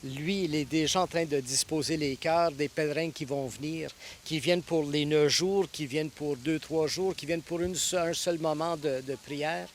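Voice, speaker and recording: A man's voice: on the high side, normal speed, a little hiss or hum. Hesitant priest in his sixties. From Ontario, Canada. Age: 60s. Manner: thoughtful, with frequent hesitations and restarts. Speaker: priest and guest preacher. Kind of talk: interview answers.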